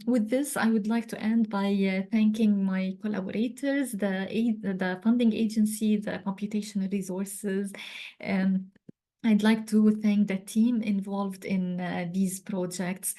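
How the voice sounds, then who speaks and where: A woman from Lebanon, a chemistry professor, giving a webinar talk over a video call, pausing often with ums and uhs. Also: medium pitch, level tone, mellow. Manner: hesitant, many ums and uhs. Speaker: guest speaker, chemistry professor.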